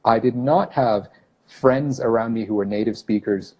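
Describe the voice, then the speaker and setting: A man's voice: mid-range voice, normal speed, darkish tone, husky, highs cut off. A man in his thirties from Brunei, whose first language is not English, talks casually on a livestream as an English teacher, often correcting himself and greeting viewers by name. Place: small office room indoors. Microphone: phone camera at arm's length.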